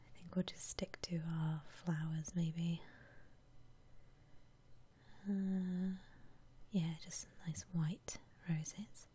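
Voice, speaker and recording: A woman whispering softly and breathily as she muses aloud through ASMR game commentary. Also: on the low side, level tone, slightly rough. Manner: unhurried, soft-spoken musing aloud. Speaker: host of an ASMR gaming channel.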